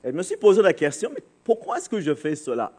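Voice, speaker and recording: Higher-voiced man preaching, halting delivery. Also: huge pitch swings, mellow, slightly rough. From Barbados. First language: English. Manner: halting, searching for words. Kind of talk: informal sermon to a congregation.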